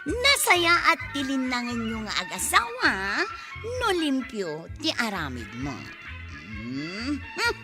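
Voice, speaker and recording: An actress playing an emotional village wife in a radio drama, with an Ilocano accent and drawn-out, expressive exclamations. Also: female voice, on the high side, heavily acted. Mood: forgiving, emotional. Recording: loud background music.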